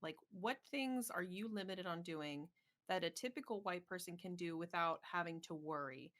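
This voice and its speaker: A woman's voice: fairly low pitch, normal speed, fairly bright tone. A woman co-hosting a podcast, speaking directly and with fire in casual chat. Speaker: podcast co-host.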